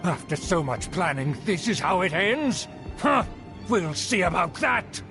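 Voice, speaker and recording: High-voiced, haughty scheming aristocrat. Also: male voice, big pitch swings, unhurried, heavily acted. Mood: indignant. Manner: haughty, theatrical, formal. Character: pompous scheming aristocrat man obsessed with clan glory. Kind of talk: video game voice acting. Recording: game dialogue with music behind.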